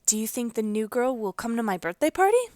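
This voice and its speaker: A woman's voice: on the high side, a little fast, no echo. A woman voicing an eager, curious young girl in a children's storybook. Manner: eager, excitable.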